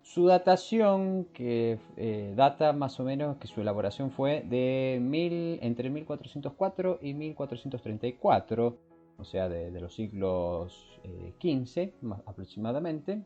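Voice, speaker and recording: A man hosting a podcast, explaining things informally and often pausing to search for his words. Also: medium pitch, big pitch swings, mellow, lo-fi sound, soft background music. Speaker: podcast host. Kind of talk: scripted intro and informal explanatory talk.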